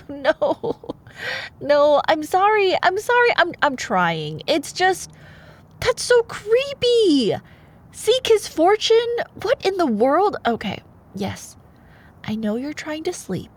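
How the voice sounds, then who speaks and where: A woman reading a bedtime fairytale in a playful, expressive, wryly sarcastic way, as in a girlfriend role-play. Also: very high voice, very slow, pauses often. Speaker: ASMR storyteller playing a girlfriend.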